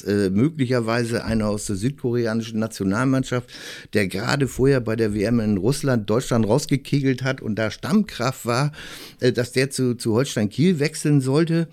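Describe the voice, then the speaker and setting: A man chatting casually as a sports journalist, telling anecdotes in a halting, chuckling manner. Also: mid-range voice, a little mellow. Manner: halting, chuckling while reminiscing.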